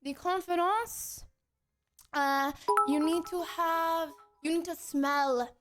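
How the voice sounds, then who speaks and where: A woman's voice: extremely high pitch, steady tone, slow pace, fairly bright tone, faint music behind, full character voice. A woman livestreamer playing a pompous, flamboyant French lady food snob with a put-on French accent, chatting theatrically to viewers. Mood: haughty, scornful. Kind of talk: playful in-character livestream chat.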